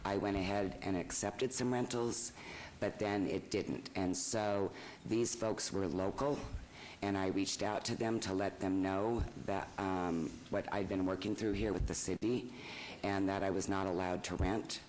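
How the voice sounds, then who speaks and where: A man with a very deep, low voice gives public testimony at a podium microphone in a council chamber. He talks quietly and hesitantly, filling pauses with ums and sounding apologetic. A faint hiss sits under the recording. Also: fairly even intonation, rough recording. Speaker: property owner appealing a fine.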